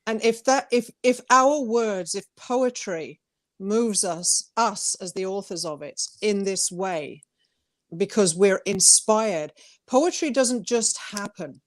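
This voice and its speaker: Woman, medium pitch, poet and co-host, earnest, emphatic, repeated phrases, heartfelt delivery, dry recording. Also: takes it slowly, no room sound. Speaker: talk show co-host and poet. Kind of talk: heartfelt talk and poetry reading.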